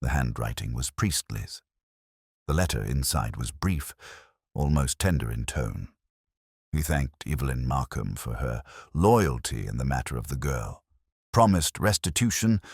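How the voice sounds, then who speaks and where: A man playing a world-weary, reflective police inspector, narrating his case in a measured, solemn audio drama style. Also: rumbling low, expressive, breathy edge, no room sound, studio quality, exaggerated voice. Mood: somber, reflective. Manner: measured, solemn, literary phrasing. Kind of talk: scripted first-person detective audio drama narration.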